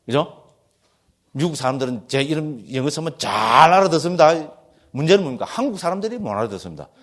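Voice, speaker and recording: A man speaking with a Gyeongsang accent, a Buddhist monk lecturing on doctrine. His voice sits a little high for a man. He keeps checking that his listeners agree, with a lively, teasing tone. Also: fast. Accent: Gyeongsang Korean. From Gyeongsang region, Korea.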